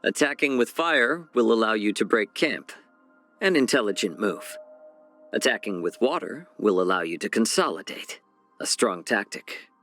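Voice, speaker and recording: An actor voicing a genial, sly fox-man healer and chef in a video game, speaking softly and smoothly in a measured, gently teasing way. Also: lowish voice, expressive, faint music behind. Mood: wry, genial. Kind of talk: scripted game character voice lines.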